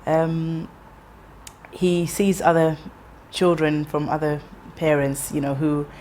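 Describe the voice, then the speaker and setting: A woman in her thirties, raised in England, telling her life story in an interview in a measured, reflective way with frequent pauses and restarts. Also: deep voice, flat delivery, mellow, slight background noise. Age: 30s.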